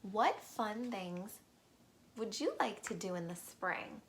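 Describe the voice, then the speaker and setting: A woman reading a storybook aloud to young children, expressive and pausing to ask the listeners questions. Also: mid-range voice, slight background noise.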